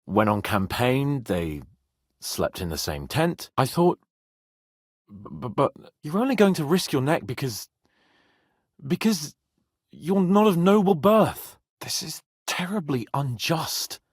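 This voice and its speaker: Casting: a young nobleman in a medieval game, lordly and loyal, lively intonation, rough-edged voice. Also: a little high, slow pace, no echo, studio quality, heavily acted. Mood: earnest, wistful. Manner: expressive, lordly, exclamatory. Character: spirited young nobleman in medieval Bohemia, haughty yet loyal. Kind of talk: dramatic video game voice acting.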